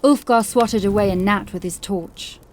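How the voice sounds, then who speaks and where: A woman's voice: mid-range voice, moderate pace, a little hiss or hum. Female audiobook narrator, scripted storytelling. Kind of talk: scripted narration of horror fiction.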